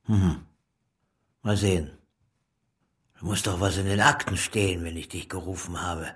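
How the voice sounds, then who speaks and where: Man voicing a blustering puppet party minister, bright and husky, lecturing in a radio play. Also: low voice, fairly even intonation, normal speed. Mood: gruff. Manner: blustering, lecturing, swings from mutter to proclamation. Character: pompous male party minister puppet, bullying, grandiose.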